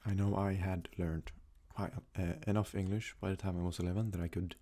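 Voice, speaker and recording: A young man from Sweden, a native Swedish speaker, talks casually in a soft-spoken, hesitant ASMR style as he answers questions. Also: low-pitched, level tone, fairly quick. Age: young adult. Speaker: ASMR creator.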